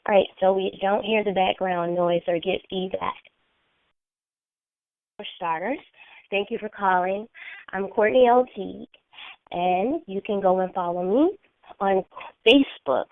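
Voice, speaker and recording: A woman's voice: medium pitch, unhurried, long pauses, no room sound. A woman hosting a motivational call, talking earnestly in a slightly scratchy voice from a sinus infection. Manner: earnest, coaching, repeats key phrases. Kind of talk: motivational talk on a phone call. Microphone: phone conference line. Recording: dry tinny phone line.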